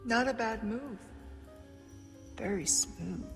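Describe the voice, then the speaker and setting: An actress playing a cool, composed woman agent in an action game, unhurried and coolly teasing. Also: a little high, gravelly. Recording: game clip, long reverb, loud music.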